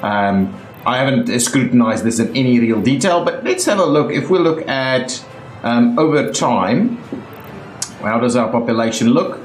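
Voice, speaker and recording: A man's voice: medium pitch, expressive, moderate pace, echoey room, faint music behind. A young South African man with a South African accent, narrating a city-building game in a chatty way while he thinks aloud, with lots of ums and uhs. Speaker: gaming YouTuber. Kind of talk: casual game commentary.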